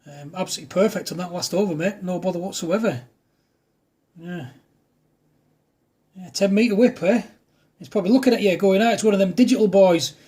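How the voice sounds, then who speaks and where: A man's voice: high voice, fairly quick, pauses often, dark tone, husky. A man talking informally and matily as an amateur radio operator, in casual back-and-forth chat. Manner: matey, informal.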